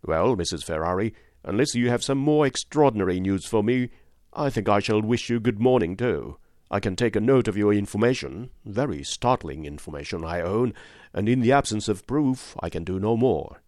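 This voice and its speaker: A man reading an audiobook, voicing a grave, skeptical lawyer who speaks in a dry, measured, gently ironic way. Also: on the low side, expressive, dry recording, voice pushed up, lightly acted. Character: grave, skeptical middle-aged male lawyer. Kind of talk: audiobook reading of a classic novel.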